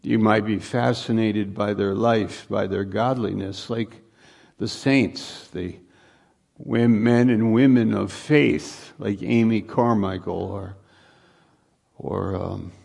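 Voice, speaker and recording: Guy pastor chatting to his congregation, real slow and gravelly, kinda halting, repeats himself, throws out questions. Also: on the low side, fairly even intonation, a little mellow. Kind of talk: informal sermon, welcoming guests to congregation. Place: live church service.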